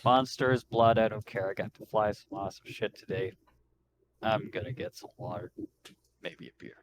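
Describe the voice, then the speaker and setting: A man playing a gruff, cynical, foul-mouthed spaceship pilot in a tabletop game, blunt and grumbling in a casual way. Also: lowish voice, moderate pace, close to an everyday voice. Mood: weary, casual. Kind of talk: in-character tabletop roleplaying. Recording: noisy home mic with keyboard typing.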